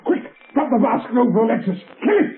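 Hostile smuggler captain: frantic, wildly swinging pitch, thin old radio. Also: male voice, fast, slight background noise. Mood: panicked. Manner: excitable, barking. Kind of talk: 1930s radio melodrama. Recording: narrow 1930s radio, faint music behind.

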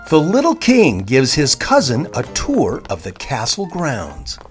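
A woman tells a bedtime story for children. Her voice is low and very varied in melody, with a gentle, unhurried delivery. Music plays underneath. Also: huge pitch swings. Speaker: narrator.

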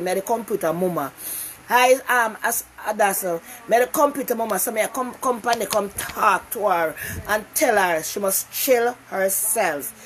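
A woman's voice: mid-range voice, slight background noise. An older Jamaican woman hosting a casual live-stream talk show, chatting animatedly with viewers from her living room and repeating herself for emphasis. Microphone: phone camera close up.

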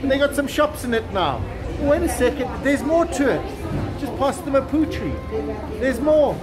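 A man's voice: very high voice, lively intonation, normal speed, over loud music. A man in his fifties giving an enthusiastic walking tour of a shopping terminal, exclaiming and chuckling as he goes. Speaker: travel vlogger. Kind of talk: casual walking tour chat. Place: outdoor shopping terminal, windy. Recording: windy outdoor street noise and crowd.

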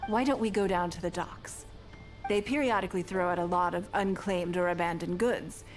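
An actress voicing a poised, wealthy female leader in a game, speaking in a measured, refined, self-assured way. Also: on the low side, steady tone, soft background music, lightly acted. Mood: composed. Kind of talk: game character dialogue.

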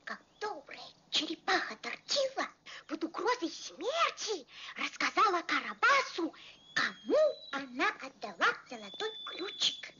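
An actor voicing a brash, lively wooden puppet boy in a Soviet animated fairy-tale film. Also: extremely high pitch, a little slow, slight background noise, heavily acted. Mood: urgent. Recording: dull old film soundtrack, loud music.

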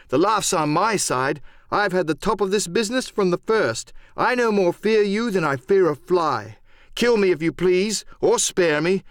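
A man's voice: mid-range voice, no echo, studio quality, pitched above the performer's own voice. A man voicing a proud, defiant boy among pirates in a storybook, speaking in an earnest, emphatic, declamatory way.

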